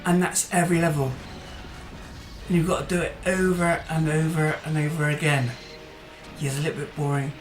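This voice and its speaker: A casual gaming host talks loosely over gameplay, restarting sentences and filling pauses with "uh" as his voice wears down. The recording rings in a roomy space, with faint music under the speech. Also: mid-range voice, little pitch movement. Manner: chatty and rambling, with hesitant fillers and restarts. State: voice tiring.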